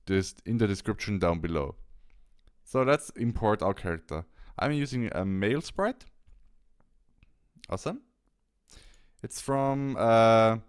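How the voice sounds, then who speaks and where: A man presenting a software tutorial step by step, thinking aloud a little hesitantly as he demonstrates. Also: on the low side, clear, resonant tone.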